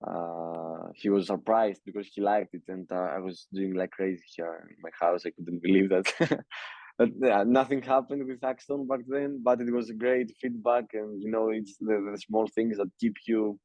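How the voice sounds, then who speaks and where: A young man from Greece with a Greek accent, speaking English as a second language in a casual interview and talking haltingly with many fillers and restarts. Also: lowish voice, fairly even intonation, a little mellow, dry recording, poor audio. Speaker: music producer, guest.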